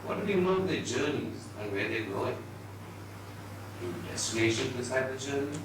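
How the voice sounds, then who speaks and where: Male narrator for live literary reading: lowish, animated pitch, measured dramatic phrasing, heard far off in a reverberant room. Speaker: reader at a book event. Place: indoor event venue before an audience. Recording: very echoey distant hall, noisy.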